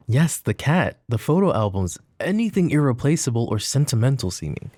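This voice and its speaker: A man playing a flirty, possessive mafia boss in an intimate roleplay, speaking sardonically and softly with slow, deliberate pauses. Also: on the low side, husky, no room sound, heavily acted. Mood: urgent, businesslike. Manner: sardonic, soft-spoken, slow pauses, swearing and sighs. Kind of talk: intimate ASMR roleplay spoken to the listener.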